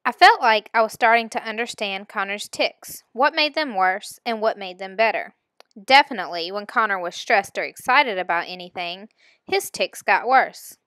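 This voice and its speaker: A woman reading a first-person children's story aloud in an expressive, storytelling manner. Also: mid-range voice, moderate pace, no echo, studio quality. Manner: expressive, acting out dialogue. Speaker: reader of a children's novel.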